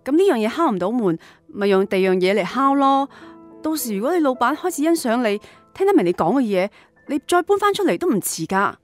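Young wife and mother, practical and emotional, lively intonation, radio drama. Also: a little high, fairly quick, soft background music. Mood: soothing, coaxing. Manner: earnest, reasoning, emotional. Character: practical wife and mother, worried about family finances.

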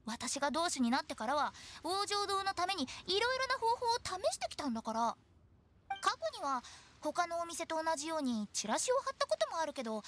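A woman voicing a playful, pushy teenage girl funeral director in a Japanese anime game, with animated sales patter. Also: sits high, slight background noise. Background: game music.

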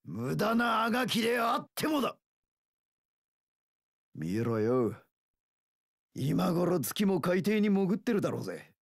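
An actor voicing a brash, rough-talking young pirate warlord in a video game, gravelly, with delinquent gang-boss speech and drawn-out battle cries. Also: male voice, sits high, sing-song, dry recording, clean studio sound, full character voice. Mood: fierce, rousing. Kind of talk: video game character voice lines.

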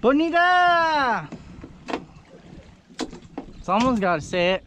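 A young man talking casually and excitedly while fishing on a small boat at sea, joking with his friends. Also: very high voice, slow. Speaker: fishing vlogger. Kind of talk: casual fishing vlog talk. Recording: wind and boat noise.

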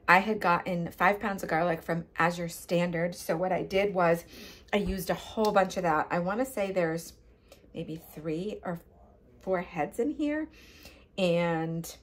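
Husky-voiced woman chatting in her kitchen. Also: lowish voice. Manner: chatty, gestures with hands, frequent ums. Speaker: cooking channel host. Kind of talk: casual how-to explanation. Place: home kitchen, indoors. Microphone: phone camera at close range.

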